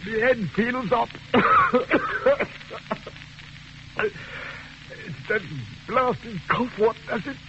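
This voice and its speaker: An actor playing a rough-spoken, ailing male convict in old radio drama style, with a dull recording and faint hiss. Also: extremely high pitch, very animated, very slow, pauses often, pushed and bright, full character voice. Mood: uneasy. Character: coarse, frightened male convict, sick and coughing. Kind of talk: 1940s radio drama acting.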